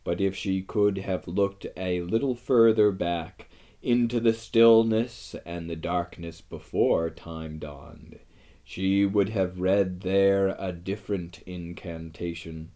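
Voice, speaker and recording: A narrator voicing a slow, deep, majestic great lion. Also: mellow. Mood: solemn, majestic. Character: great majestic male lion, wise, solemn and gentle.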